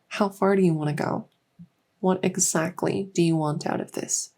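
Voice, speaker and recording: Soft, creaky, unhurried woman as a dragon-girl doctor. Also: lowish voice. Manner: unhurried, hesitant pauses, reassuring. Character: gentle petite fairy dragon girl doctor, patient and reassuring. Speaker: doctor in a medical roleplay. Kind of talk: soft-spoken ASMR roleplay.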